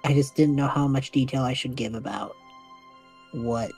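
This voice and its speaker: A man's voice: mid-range voice, steady tone, moderate pace, darkish tone, faint music behind, lightly acted. A man playing an easygoing half-elf student in his early twenties in an improvised story podcast, casual, good-natured and a little hesitant. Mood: explaining.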